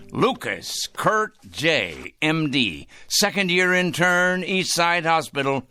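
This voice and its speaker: An actor in a radio drama playing an obsessive, self-righteous crank, accusing and condescending, with pompous, fussy diction and muttered repetitions. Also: male voice, fairly high pitch, big pitch swings, takes it slowly, pushed and bright, full character voice.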